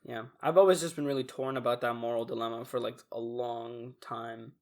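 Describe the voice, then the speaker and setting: A young man with an average-pitched voice, co-hosting a podcast in relaxed conversation. He talks reflectively and likes to ask his co-host questions. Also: fairly quick. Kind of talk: casual chat.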